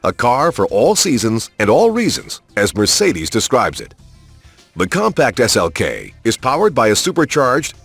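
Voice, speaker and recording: A man's voice: mid-range voice, vocal fry, fairly bright tone, breathy edge. A man reading polished, scripted promotional narration in an upbeat, salesman-like way. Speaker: narrator of car showcases in a video game. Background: music.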